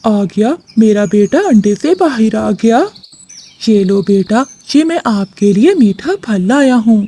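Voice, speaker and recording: Woman as a husky loving papa sparrow. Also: fairly high pitch, animated, slow, warm tone, heavily acted. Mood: joyful. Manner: affectionate. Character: loving, eager father sparrow.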